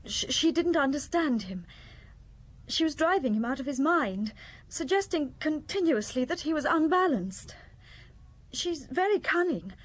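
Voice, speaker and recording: An actress playing a fragile, guilt-ridden woman in a radio drama, hesitant, faltering and anguished, her voice breathy and husky. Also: high voice, very animated, a little slow. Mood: faltering, distressed.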